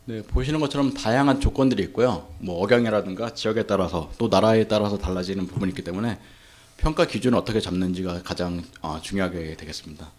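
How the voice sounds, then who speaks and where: A man summarizing a lecture as a seminar interpreter, in quick, run-on explanations with frequent filler sounds. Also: sits low, a little mellow. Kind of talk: rapid Korean summary interpretation.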